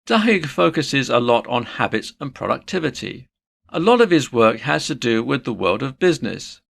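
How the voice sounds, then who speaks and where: Lively, gravelly male narrator. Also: medium pitch, moderate pace. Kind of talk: scripted informational narration.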